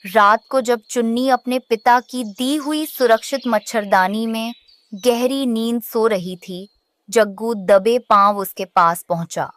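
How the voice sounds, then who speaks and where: A woman's voice: on the high side, unhurried, fairly bright tone. A woman narrator reading a scripted children's story.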